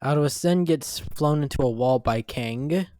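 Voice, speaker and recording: A man's voice: medium pitch, unhurried, dark tone, no room sound, studio quality. A man reading scripted narration for a comic-book dramatic story. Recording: music behind the voice.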